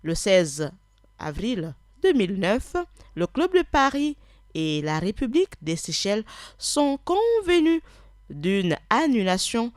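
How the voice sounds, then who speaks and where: A woman's voice: mid-range voice, sing-song, takes it slowly, no room sound, clean studio sound. A woman whose first language is Punu, hosting a radio program in an unscripted, hesitant way, full of restarts and "alors". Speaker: radio host. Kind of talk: radio talk presentation.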